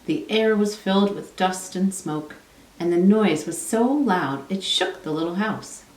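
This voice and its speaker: A woman's voice: medium pitch, expressive, a little slow, a little mellow. A woman reading a children's storybook aloud as narrator. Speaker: storybook reader. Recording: echoey room, distant from mic.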